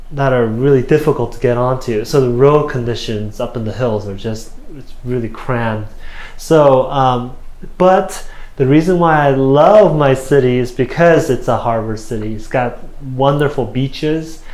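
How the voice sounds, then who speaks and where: A man in his thirties from South Korea, speaking English with a Korean accent as an interview guest, halting with many fillers and restarts. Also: medium pitch, darkish tone. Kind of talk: casual interview answers. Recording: roomy echo.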